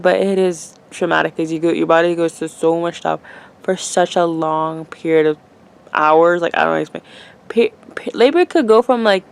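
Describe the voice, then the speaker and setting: Rambling eighteen-year-old woman, creaky voice. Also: medium pitch, a little mellow. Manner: rambling, chatty, many fillers and restarts. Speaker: vlogger. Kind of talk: casual letter to future self.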